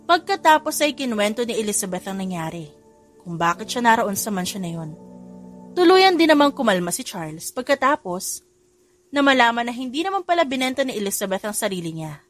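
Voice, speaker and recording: A woman's voice: on the high side, very animated, brisk, faint music behind. A woman narrator reading a scripted romance story for an audiobook.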